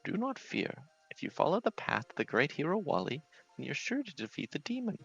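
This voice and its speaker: Elderly fortune-teller played by a man, portentous and theatrical, gravelly edge, lively swooping pitch, game character. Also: brisk, muffled recording, soft background music, heavily acted. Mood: solemn.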